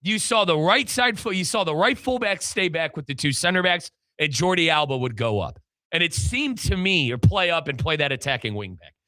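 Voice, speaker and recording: Bright, forceful male sports show host. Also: a little high, lively intonation, fairly quick, no echo. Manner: emphatic, opinionated. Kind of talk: soccer analysis talk show.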